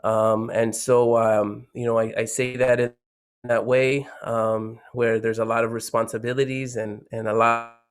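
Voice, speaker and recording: Halting, flat-voiced man introducing himself. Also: medium pitch, smooth. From San Diego. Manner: halting, many fillers and restarts, warm reflective. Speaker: educator, panel guest. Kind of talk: unscripted introduction.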